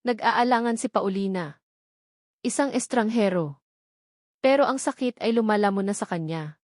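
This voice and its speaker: A woman's voice: mid-range voice, normal speed, a little mellow, no echo, studio quality. A woman with a Filipino accent reads a dramatic scripted story as an audiobook narrator.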